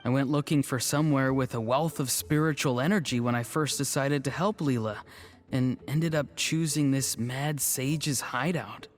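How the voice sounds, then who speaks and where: A man's voice: medium pitch, normal speed, natural voice. A man playing an earnest, good-natured young tribesman in a game cutscene, mild and wistful in manner. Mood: apologetic. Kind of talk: animated video game cutscene acting.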